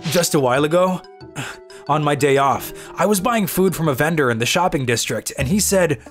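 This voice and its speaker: A man's voice: fairly high pitch, lively intonation, normal speed, a bit bright, faint music behind. A man playing a weary, cynical young office worker who grumbles and narrates his own manga story in a dry, exasperated way. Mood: narrating. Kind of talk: dubbed manga story narration in first person.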